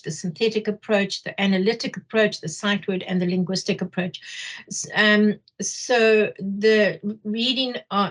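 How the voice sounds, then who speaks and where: A middle-aged woman with a Zimbabwean accent explaining material as a workshop trainer, haltingly, often repeating phrases for emphasis. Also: medium pitch, rough recording. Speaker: teacher trainer leading a workshop. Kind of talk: explanatory lecture.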